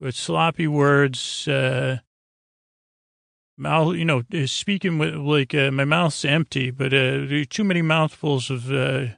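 Creaky, rambling man hosting a bedtime podcast. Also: medium pitch, a bit bright, dry recording, studio quality. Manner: meandering, halting, stumbling over words. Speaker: podcast host.

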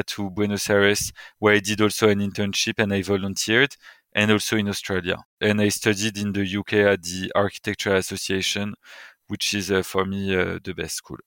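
A man in his thirties from Paris with a French accent, chatting informally and haltingly as an interview guest. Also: lowish voice, fairly bright tone, clear, resonant tone, no echo. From Paris, France. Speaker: interior designer, podcast guest. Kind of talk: casual interview chat.

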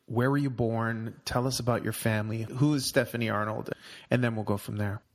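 A man's voice: medium pitch, steady tone, a little fast. A man hosting a podcast, enthusiastically introducing and questioning his guest. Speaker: podcast host. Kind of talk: podcast interview.

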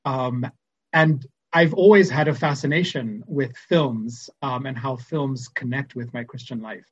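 Zimbabwean-accented male priest, husky, reflective podcast guest, muffled lo-fi sound. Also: medium pitch, a little slow. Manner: thoughtful, searching, with frequent ums. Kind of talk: reflective conversational podcast talk.

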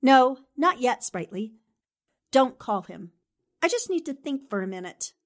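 Woman playing 1940s radio newspaperwoman, highish voice, very animated, brisk, determined, commanding. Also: full character voice. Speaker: actor in an amateur radio drama re-creation.

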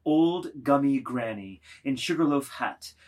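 A man narrating stage directions as the narrator of a dramatised literary reading, with a slightly husky voice. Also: medium pitch, animated, a little slow.

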